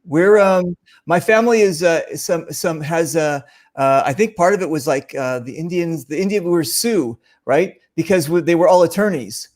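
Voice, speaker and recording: A man's voice: fairly high pitch, moderate pace, darkish tone. A man hosting a casual conversational interview, joking and often interjecting. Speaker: interview host.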